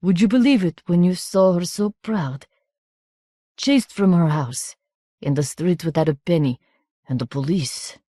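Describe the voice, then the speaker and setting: A theatrical baroness speaks in a coarse, guttural country voice, loud and commanding, as a woman narrator puts her on. She sounds rough and a little menacing. The storybook reading is dry and close. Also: deep voice, sing-song, slow pace, no room sound, exaggerated voice. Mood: scornful.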